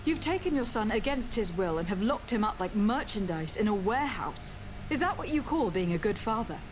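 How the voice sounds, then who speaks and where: A woman's voice: mid-range voice, animated, brisk, close to an everyday voice. An actress playing a composed, principled noblewoman legate in a video game, speaking with formal, firm courtesy. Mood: reproachful. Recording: clear background noise from game ambience.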